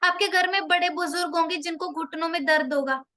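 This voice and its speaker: Young woman, high and bright; persuasive, chatty seller who keeps asking the listener questions. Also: steady tone, brisk. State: slightly sore throat from a cold. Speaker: product seller explaining products. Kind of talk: persuasive product talk.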